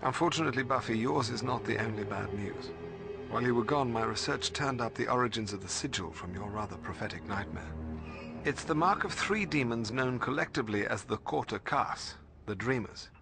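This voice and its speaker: A man's voice: medium pitch, lively intonation, a little fast, vocal fry, over music. A man playing a learned, earnest middle-aged mentor in a video game, with a formal, bookish way of explaining. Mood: grave, explanatory. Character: learned, earnest male mentor and researcher to a slayer. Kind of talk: video game cutscene acting.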